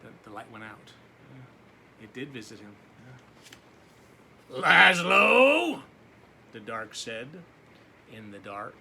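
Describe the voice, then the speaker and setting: A man's voice: lowish voice, fairly even intonation, slow pace, long pauses, bright, forceful tone. A man reading a picture book aloud as a teacher, with simple asides to his young listeners.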